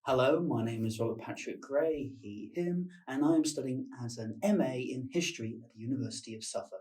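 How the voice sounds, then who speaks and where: A man's voice: medium pitch, a little fast, a little mellow, far from the mic. A man who studies history, a podcast guest chatting casually with dry, wry humour. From England. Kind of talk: casual chat.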